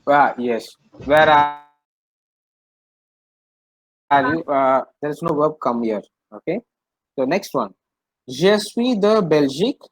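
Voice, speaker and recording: A man's voice: fairly high pitch, lively intonation, slow pace, long pauses, no echo, poor audio. A man with an Indian accent leads an interactive language lesson. He repeats phrases, translates them line by line and often asks brief questions to check understanding. The sound is very dry, with no room around the voice. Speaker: French language teacher.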